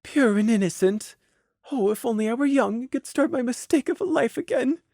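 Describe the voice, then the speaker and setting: A woman's voice: fairly high pitch, brisk, darkish tone, no room sound, studio quality, pitched above the performer's own voice. A woman voicing the anguished, self-loathing thoughts of a unicorn mare in a storybook, tearful and despairing.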